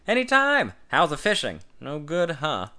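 A man's voice: high voice, huge pitch swings, measured pace, pushed and bright, pitched above the performer's own voice, full character voice. A man doing a gloating, teasing truck dispatcher. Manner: gloating, sarcastic.

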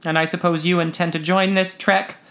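A man voicing a cold, bitter middle-aged wife in an audiobook, speaking icily and raised somewhat in pitch. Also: flat delivery, fairly quick, fairly bright tone. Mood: icy. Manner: icy.

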